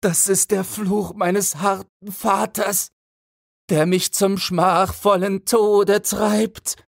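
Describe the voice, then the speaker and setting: Man acting wretched captive, high plaintive pleading. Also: flat delivery, takes it slowly, creaky, dry recording, clean studio sound, exaggerated voice. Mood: weeping despair. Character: wretched, humble, despairing young male captive.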